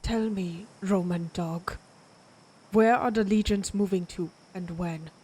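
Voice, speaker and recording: A woman's voice: medium pitch, steady tone, darkish tone, slightly rough. A woman playing a proud, menacing vampire warrior of ancient Germanic tribes in a dramatic audio roleplay, speaking in a taunting, predatory way. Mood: cold menace. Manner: slow, taunting, theatrical, predatory.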